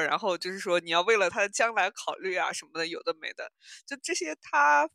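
Bright-voiced woman chatting on a podcast. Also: medium pitch, dry recording, studio quality. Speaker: podcast host. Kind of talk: casual podcast chat.

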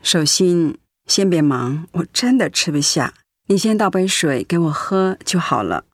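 An actress playing a weary, devout middle-aged pastor's wife in a radio drama, ailing but calm and accepting. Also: female voice, lowish voice, measured pace, no echo, studio quality. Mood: tired, gentle. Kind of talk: Mandarin radio drama acting.

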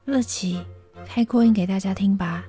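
A woman's voice: mid-range voice, moderate pace, dark tone, faint music behind, close to an everyday voice. A woman playing a level-headed, practical young housemate in an audio drama. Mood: calm, practical.

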